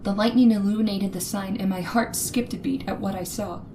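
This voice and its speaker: A woman's voice: mid-range voice, fairly even intonation, a little hiss or hum, lightly acted. An actress playing a frightened young woman held captive by a killer, telling her story in a suspenseful old-time radio horror drama. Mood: tense, alarmed. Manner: breathy dramatic suspense, hushed and halting when afraid.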